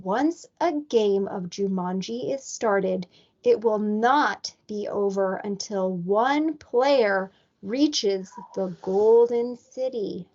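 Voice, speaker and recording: A woman's voice: mid-range voice, takes it slowly, a little mellow. A woman voicing an eager, bossy young sister in a storybook, with emphatic, careful enunciation. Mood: bossy, instructive. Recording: dull lo-fi recording.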